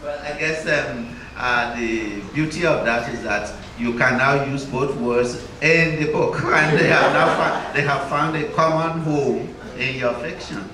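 A man interviewing an author onstage in front of a large audience, pausing often with "uh" and "um". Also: mid-range voice. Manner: hesitant, full of "uh" and "um". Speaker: interviewer at a book launch. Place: stage before a large indoor audience. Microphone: handheld mic. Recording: echoey hall, distant from the mic, with noise.

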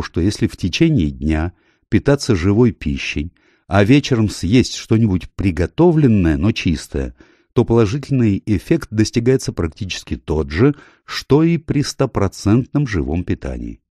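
A man reading a nonfiction audiobook in measured phrases, stressing key words, close to the microphone. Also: on the low side, dark tone, no room sound. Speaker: audiobook narrator. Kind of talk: scripted nonfiction audiobook narration.

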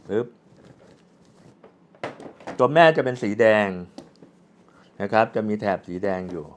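A low-voiced man walks through a product in a casual, unscripted way. He explains as he goes, with polite sentence endings, over clearly audible background noise. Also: expressive, slow pace. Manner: explanatory, with frequent polite particles and spoken handling sounds. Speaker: product reviewer.

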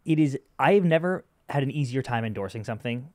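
A young man co-hosting a hockey podcast, chatting in a loose, joking, rambling way on a studio boom mic. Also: animated, fast, darkish tone, no echo. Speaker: podcast co-host. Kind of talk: casual podcast chat. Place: studio with wood walls. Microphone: boom-arm podcast mic.

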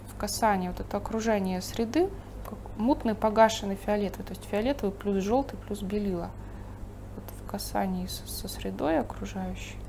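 A woman artist teaching, thinking aloud calmly as she paints a still life at her table. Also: medium pitch, brisk, a little hiss or hum. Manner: thinking aloud while painting, calm and explanatory. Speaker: painting instructor. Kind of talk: painting demonstration with commentary. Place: studio table, overhead camera on the painting.

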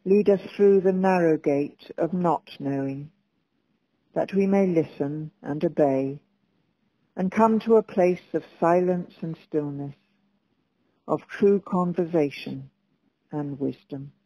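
Woman, priest, slow measured prayer, warm mellow voice, muffled sound. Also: low voice. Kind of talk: slow liturgical prayer and reading.

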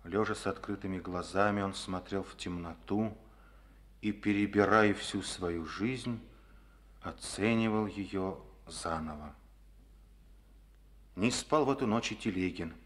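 A man narrating a Soviet radio play in a measured, solemn manner. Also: low-pitched.

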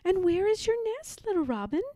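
A caring little girl bear acted by a woman from a storybook. The voice is raised high with lively pitch, tender and cooing. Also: fairly quick, dry recording, studio quality. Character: sweet caring young girl bear cub.

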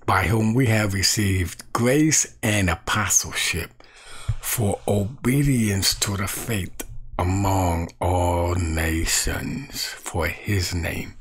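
A middle-aged man teaching Bible verses to camera from a small room at home, slow and deliberate, repeating key phrases. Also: deep voice, fairly bright tone, slightly rough. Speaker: street evangelist.

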